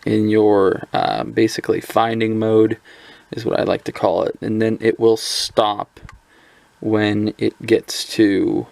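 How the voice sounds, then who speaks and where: A man with a lowish, gravelly voice walks through a small radio in an unscripted review. He rambles a little, filling gaps with ums and restarting sentences as he goes. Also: level tone, slow, darkish tone, no room sound. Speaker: product reviewer. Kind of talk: casual hands-on product review.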